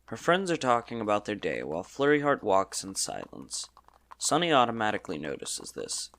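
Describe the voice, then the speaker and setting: A man's voice: on the low side, huge pitch swings, moderate pace, creaky, fairly bright tone. A man narrating a scripted story in a dramatic storybook style.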